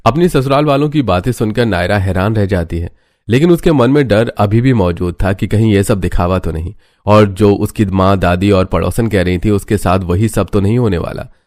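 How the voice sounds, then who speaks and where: Low-voiced male story narrator. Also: moderate pace, a little mellow, no echo, studio quality. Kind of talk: scripted cartoon story narration.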